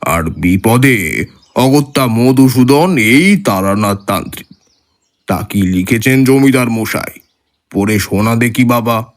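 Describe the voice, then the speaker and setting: An actor playing a seasoned male tantric mystic who recounts his adventures in a measured, solemn way for a horror audio drama. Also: medium pitch, animated. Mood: amused, knowing. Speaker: storyteller.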